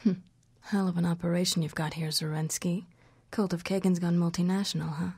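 An actress playing a cocky half-vampire huntress in a video game, with a sultry, sarcastic, flippant manner. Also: female voice, on the low side, little pitch movement, a little fast, a little mellow, slightly rough. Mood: cocky, mocking. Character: sardonic, cocky half-vampire woman hunter. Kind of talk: video game cutscene acting. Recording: game audio with music behind.

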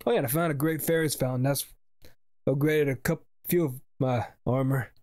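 A man's voice: mid-range voice, fairly even intonation, a little fast, a little mellow. A young man giving casual let's play commentary in a rambling, jokey way. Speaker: gaming video host. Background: game music.

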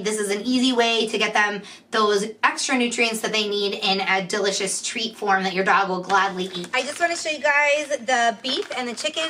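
A woman's voice: medium pitch, sharp tone. A woman, giving an informal product review to camera. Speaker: pet product reviewer and host.

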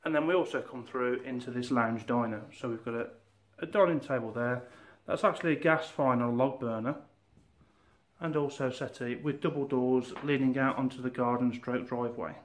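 A man in his forties, an estate agent, walking through a house and pointing out rooms in a steady, informative way. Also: medium pitch, moderate pace. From England. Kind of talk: property walkthrough tour.

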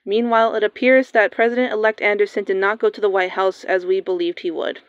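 A woman playing a radio newscaster, reading breaking news in a steady, formal manner. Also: medium pitch, quick pace, no room sound, close to an everyday voice. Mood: urgent. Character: composed female radio newscaster. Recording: dull lo-fi sound.

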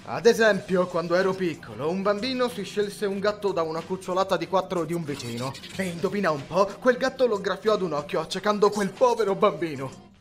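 A man voicing an excitable, indignant young gangster with an overdramatic, ranting manner in an anime fan dub. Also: sits high, a little fast, faint music behind, natural voice. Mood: ominous storytelling.

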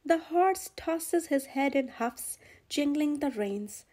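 A woman reading a children's picture book aloud in an expressive, gentle storytelling manner. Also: high voice, takes it slowly. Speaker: storyteller, channel host.

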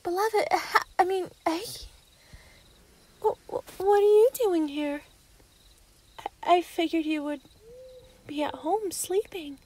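A woman's voice: very high voice, slow pace, pauses often, darkish tone. A woman playing an obsessive, lovestruck young female werewolf in a yandere ASMR roleplay, menacing and possessive. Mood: flustered, excited.

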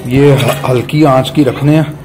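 Man, calm practical cooking explanations; loud music in the background. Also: medium pitch, low-quality recording. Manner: plain, unhurried instructions with polite "ji". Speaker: cook. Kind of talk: explaining cooking while grilling.

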